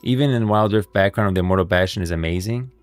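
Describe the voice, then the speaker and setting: A man's voice: low-pitched, quick pace, dry recording. A man giving casual, enthusiastic livestream commentary on game lore, speaking emphatically and in slangy language. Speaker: live streamer, lore commentator. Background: music.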